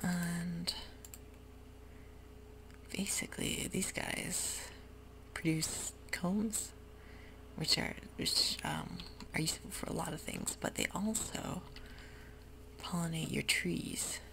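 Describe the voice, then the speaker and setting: A woman giving soft-spoken, whispery commentary while she plays a computer game, with frequent ums. Keyboard typing can be heard close to the mic. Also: fairly low pitch, fairly even intonation, slow, vocal fry, pauses often. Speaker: ASMR gaming creator. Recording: noisy home recording.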